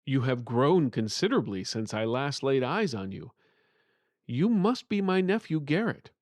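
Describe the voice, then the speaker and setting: A man's voice: mid-range voice, sing-song, unhurried, a little mellow, no echo. A man voicing an earnest, pleading uncle whose spirit is trapped, in a fantasy storybook. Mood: grave, pleading.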